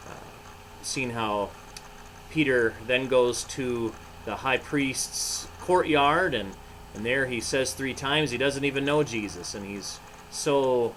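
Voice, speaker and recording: A man speaking as a pastor, introducing a children's storybook in a halting way with frequent pauses. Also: medium pitch, fairly bright tone, slight background noise, poor audio. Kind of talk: children's storybook reading with short reflections.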